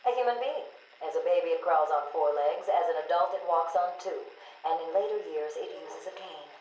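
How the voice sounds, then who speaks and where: Low-voiced clinical woman psychiatrist, acted. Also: a little mellow, reverberant, a little hiss or hum, close to an everyday voice, poor audio. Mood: patient. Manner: measured, clinical, formal. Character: measured, patient female psychiatrist, quietly weary. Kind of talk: video game voice acting.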